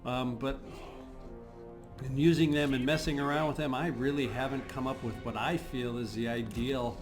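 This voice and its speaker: Guy doing strategy game commentary, bit scratchy, thinks out loud, music going behind him. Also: medium pitch, fairly even intonation, moderate pace. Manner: thinking aloud, hesitant, throat-clearing. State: slightly scratchy voice. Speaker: host of a gaming channel. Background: video game music.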